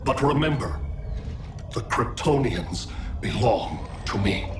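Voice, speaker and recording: An actor playing a cold, imperious alien overlord in a video game, speaking in a measured, commanding manner. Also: male voice, medium pitch, big pitch swings, noisy background. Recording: echoey, distant, music behind.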